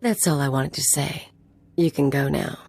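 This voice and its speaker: A woman playing a cool, flirtatious and menacing crime enforcer in a video game, husky and gravelly, teasing as she threatens. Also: rumbling low, moderate pace, darkish tone, faint music behind, exaggerated voice. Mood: cool, teasing menace.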